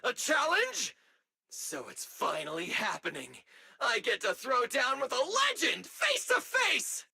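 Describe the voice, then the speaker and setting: Shrill, excitable heroic game fighter. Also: female voice, measured pace, creaky, husky, heavily acted. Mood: thrilled. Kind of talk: video game voice acting.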